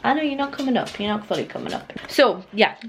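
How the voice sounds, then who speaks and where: A woman's voice: medium pitch, very animated, fast talker, creaky, slight background noise. A young British woman chatting casually to her vlog viewers in an informal, rambling way. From United Kingdom. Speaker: vlogger.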